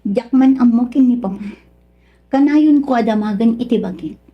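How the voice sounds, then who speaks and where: A woman with a Filipino accent playing a gentle, anxious, supportive daughter and wife in a radio drama. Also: fairly high pitch, normal speed, muffled recording, lightly acted. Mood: worried, supportive. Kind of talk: Ilocano radio drama acting.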